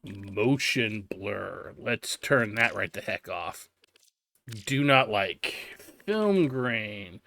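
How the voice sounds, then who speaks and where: A man's voice: lowish voice, animated, extremely slow, gravelly, bright, forceful tone. A man hosting a gaming video, giving instructional tutorial commentary and stressing his key advice.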